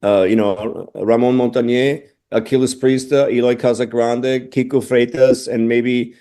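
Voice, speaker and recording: A middle-aged man from Austria, a drummer answering interview questions thoughtfully, often pausing with "you know". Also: medium pitch, steady tone, dark tone. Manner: thoughtful, restarts phrases, frequent "you know".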